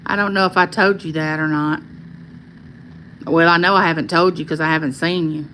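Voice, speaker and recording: A woman with a Southern Arkansas accent hosts a casual livestream, reading viewer comments aloud and answering each by name in a calming voice. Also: deep voice, brisk, slight background noise, low-quality recording. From California. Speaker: livestream host.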